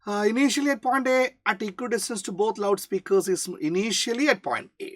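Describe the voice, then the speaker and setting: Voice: mid-range voice, drawn-out words. A physics teacher with a Malayali accent works through a problem in a mid-range voice. The delivery is explanatory, switching into English for technical terms and often checking with short questions. The sound is dry, with very little room echo.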